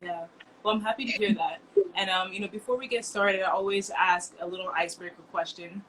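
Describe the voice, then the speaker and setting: A woman's voice: medium pitch, fairly even intonation, a little fast, fairly bright tone, a little hiss or hum, low-quality recording. A woman hosting an interview show, affirming her guest and asking prepared questions. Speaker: podcast host. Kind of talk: interview host.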